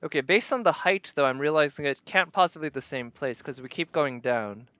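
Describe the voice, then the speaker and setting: A man's voice: on the high side, lively intonation, fairly quick, bright, forceful tone, dull audio. A man live streaming a video game, chatting casually with viewers and musing aloud as he plays. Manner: thinks aloud, tentative and musing.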